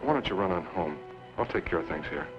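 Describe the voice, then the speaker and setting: Deep, terse western man played by an actor. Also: lively intonation, fast talker, over music. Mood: grave, resolved. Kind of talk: old western radio drama acting. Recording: muffled old radio recording.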